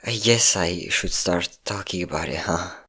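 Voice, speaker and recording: A man playing a depressed young man who tearfully confides in his best friend, halting and self-deprecating, in an intimate audio roleplay. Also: sits low, bright, forceful tone, breathy edge, heavily acted. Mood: sad, reflective. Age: young adult.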